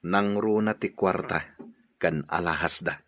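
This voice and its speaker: Deep-voiced, scheming crook. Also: male voice, unhurried. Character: scheming male crook plotting to rob a rich household. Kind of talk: Ilocano radio drama acting. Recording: muffled audio with faint noise.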